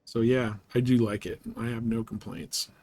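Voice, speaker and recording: A man hosting a live game stream, chatting casually and haltingly with viewers as he thinks aloud in an easygoing way. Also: medium pitch, little pitch movement, dark tone. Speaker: game livestream host. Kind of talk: casual livestream chat while gaming, answering viewers.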